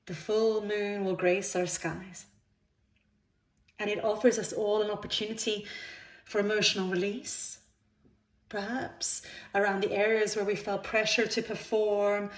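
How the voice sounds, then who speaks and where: A woman with a mid-pitched voice leads a guided meditation. She speaks soothingly in unhurried phrases, leaving pauses between them. The sound is noticeably echoey, as in a reverberant room. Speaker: yoga nidra instructor. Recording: roomy, echoing sound.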